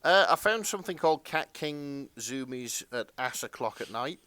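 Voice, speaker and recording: A man's voice: mid-range voice, lively intonation, normal speed, a crowd in the background. A man co-hosting a podcast in casual chat, feeling his way through sentences with hesitant phrasing. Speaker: podcast co-host.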